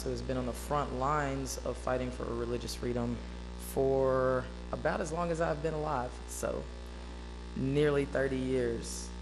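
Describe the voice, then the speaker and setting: A woman delivers an emphatic prepared speech into a podium microphone. Her voice is big and loud, like a radio talker's, and each point lands with earnest force. A faint hum and soft music sit underneath. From East Arkansas, USA. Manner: loud, booming voice. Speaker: speaker at an interfaith devotional. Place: meeting hall.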